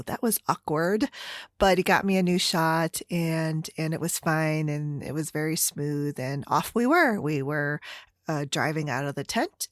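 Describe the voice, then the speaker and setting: A middle-aged woman with an American Midwestern accent chatting casually and haltingly as a solo podcast host, meandering through her stories. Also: low-pitched, dry recording, clean studio sound. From Chicago area, United States. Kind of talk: casual solo chit-chat.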